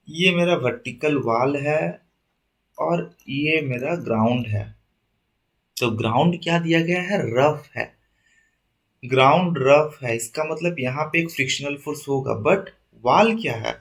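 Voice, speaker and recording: A young male tutor with a slightly raised pitch talks through engineering problems while nursing a sore throat. He reads each question slowly before switching to brisk explanations, and he keeps checking that his listeners are following. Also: fairly bright tone. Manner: reads English questions slowly, explains in quick Hindi asides. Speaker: exam coaching teacher. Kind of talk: online exam-prep lesson, Hindi mixed with English.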